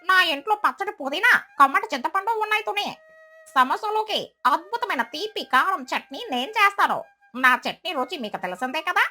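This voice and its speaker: A woman's voice: very high voice, sing-song, normal speed, bright, forceful tone, pitched above the performer's own voice, heavily acted. A woman voicing a cheerful, proud female duck, chirpy and enthusiastic, in a cartoon story. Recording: music behind the voice.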